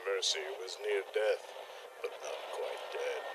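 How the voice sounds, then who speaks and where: A man narrating a mock-gothic comedy tale in a measured, dramatic storytelling style. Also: low voice, heavy background noise.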